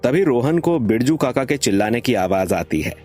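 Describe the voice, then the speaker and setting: A male narrator reads a scripted moral tale in a middle-register voice. Soft music plays beneath the storytelling. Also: medium pitch, sing-song, moderate pace.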